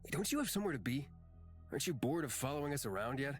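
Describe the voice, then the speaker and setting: A man voicing a haughty, gruff and impatient investigator in a visual novel game, curt and scornful. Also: mid-range voice, big pitch swings, quick pace, faint music behind, close to an everyday voice.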